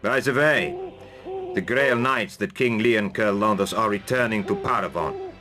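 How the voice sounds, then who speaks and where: A man playing a hearty, loyal veteran lieutenant in a fantasy game cutscene, with an expressive delivery. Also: on the low side, a little slow, over music. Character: hearty male veteran soldier, loyal lieutenant and former mentor. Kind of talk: dramatic game cutscene acting.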